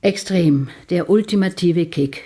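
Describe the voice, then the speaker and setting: A woman's voice: on the low side, a little slow, mellow, breathy edge. An actress playing a composed, strong-willed female client in a detective radio play, speaking with control and insistence. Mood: controlled concern. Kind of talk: German radio play acting.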